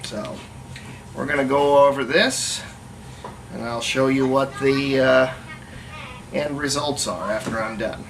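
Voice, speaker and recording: A hobbyist restorer giving a casual hands-on workshop demonstration, thinking aloud in a halting way as they work. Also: mid-range voice, steady tone. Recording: noisy room recording with ambient sound.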